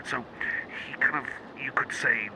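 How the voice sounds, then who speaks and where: A man's voice: mid-range voice, fairly even intonation, unhurried, creaky, noisy background. A man playing a shy, nervous cyborg friend in an ASMR roleplay, rambling hesitantly and apologetically as if chatting one-on-one. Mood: nervous, evasive. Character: shy, nervous young male cyborg chum, rambling and awkward. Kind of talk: ASMR roleplay, intimate one-sided chat.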